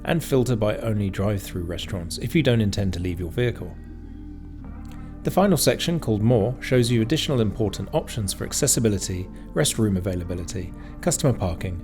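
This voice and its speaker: A man reading scripted instructional narration in a measured, explanatory way, as a tutorial narrator. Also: on the low side. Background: background music.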